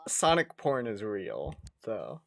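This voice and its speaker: Male podcast co-host, casual and jokey, unhurried pace, animated intonation, close dry sound. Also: fairly low pitch, vocal fry, no echo. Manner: giggly, joking. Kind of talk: casual chat.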